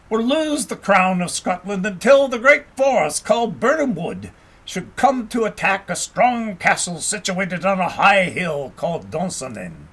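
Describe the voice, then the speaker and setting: A male audiobook narrator with a high voice that has a rough, husky grain. His pitch swings widely as he reads a historical tale. The delivery is expressive and measured, like scripted storybook narration.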